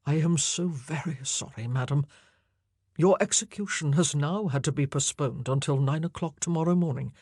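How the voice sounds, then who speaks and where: A woman reading an audiobook, voicing a solemn, kind-hearted jailer who speaks steadily in her own lower register. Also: normal speed, warm tone, breathy edge, no room sound, clean studio sound. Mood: regretful. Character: gentle middle-aged male jailer, grave, kindly.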